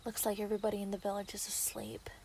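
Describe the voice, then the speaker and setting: A woman's voice: medium pitch, sing-song, quick pace, noisy background. A woman voicing a menacing, taunting female werewolf in an ASMR roleplay audio drama, often dropping toward a whisper. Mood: sinister glee. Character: gleeful, menacing female yandere werewolf villain.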